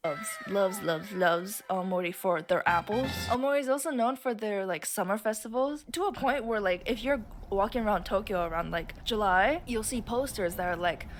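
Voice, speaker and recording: A young woman from Japan chats casually on a stream, telling stories and acting out quoted voices with plenty of swearing. Her voice sits in the middle range. Music plays clearly in the background. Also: medium pitch, moderate pace, hardly any pauses. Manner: animated, swears freely, acts out quoted people. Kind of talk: casual chat. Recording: music playing behind.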